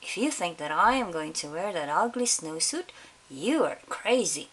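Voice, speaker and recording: A woman's voice: on the low side, animated, moderate pace, a bit bright, breathy edge. A woman voicing a stubborn young boy in a storybook, refusing in a defiant way. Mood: stubborn defiance.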